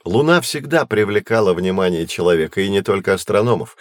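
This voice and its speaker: Low-voiced patient astronomy guide, man. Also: expressive, no echo, studio quality. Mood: explanatory, patient. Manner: measured, explanatory, reassuring to children. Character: patient knowledgeable adult man guiding children through astronomy. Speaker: teacher-guide in educational audio drama. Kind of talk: scripted educational audio drama, explanatory.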